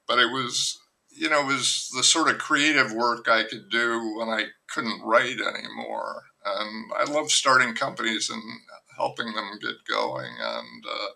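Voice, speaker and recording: Gravelly, sharp-toned older man. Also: medium pitch, low-quality recording. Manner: frank, rambling, restarts his sentences. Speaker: novelist guest. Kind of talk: candid podcast conversation.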